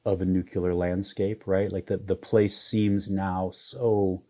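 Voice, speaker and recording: A man in his forties with a low, dark and mellow voice. He talks in a flat, even way, halting and restarting with lots of fillers as he thinks aloud in an interview. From Iowa, US Midwest. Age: 40s. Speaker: photographer guest. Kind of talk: reflective interview conversation.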